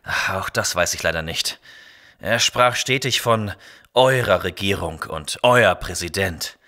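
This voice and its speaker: An actor playing a composed, analytical space commander hero in a retro sci-fi audio drama. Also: male voice, deep voice, a little slow, sharp tone, exaggerated voice. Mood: pensive.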